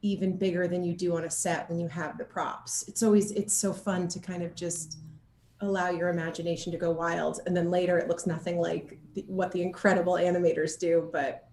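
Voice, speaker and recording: A woman's voice: on the low side, flat delivery, brisk, darkish tone, slight background noise. A woman chatting casually as an interviewed actor, bubbly and giggly, with playful slang, recorded in a room at home. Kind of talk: casual chat in a video interview. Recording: echoey room.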